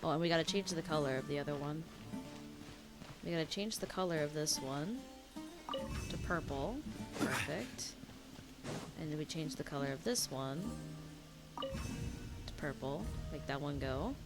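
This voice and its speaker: Woman streaming a game, casual reactions, lowish voice, loud game music behind her. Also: expressive, unhurried, long pauses. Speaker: gaming streamer. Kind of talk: casual reaction commentary while playing.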